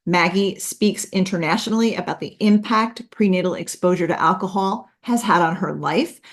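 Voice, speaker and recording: Woman podcast host, mid-pitched, chatty and upbeat. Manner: chatty, upbeat, many ums. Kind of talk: casual podcast talk.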